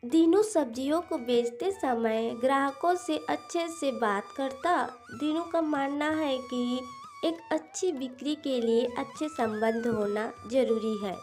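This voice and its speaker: A woman's voice: high-pitched, takes it slowly, darkish tone. A woman narrator reading a scripted cartoon story for children. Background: music.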